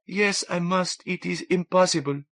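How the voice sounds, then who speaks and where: A man voicing a timid, distraught French woman who speaks halting, stilted English in a small voice for an audiobook. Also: sits high, steady tone, a bit bright, pitched above the performer's own voice. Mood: miserable. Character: timid, distraught young Frenchwoman, tearful and devout in scruple.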